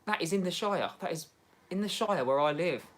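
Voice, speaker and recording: Chatty Midlands lad hosting a livestream. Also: male voice, sits high, brisk. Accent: English Midlands. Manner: chatty and excitable, laughs often, bouncing between topics. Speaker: livestream host. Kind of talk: casual livestream chat reading viewer comments.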